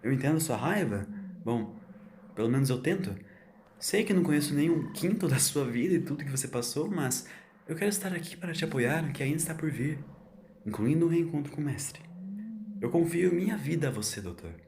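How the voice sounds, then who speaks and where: A man voicing a kind young woman companion, earnest and encouraging, a little higher than his own voice. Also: expressive, a little fast, a little mellow. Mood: reassuring. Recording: roomy echo, faint hiss.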